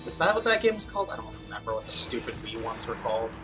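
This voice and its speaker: A man's voice: mid-range voice, sing-song, racing, sharp tone, soft background music. A man hosting a casual let's play, joking and swearing freely. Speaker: host, gamer.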